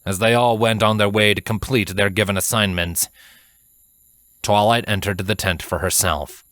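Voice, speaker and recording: A man reading a fantasy story as a narrator, in a measured, dramatic way. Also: fairly low pitch, steady tone, a bit bright, no echo, clean studio sound. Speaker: fan fiction narrator.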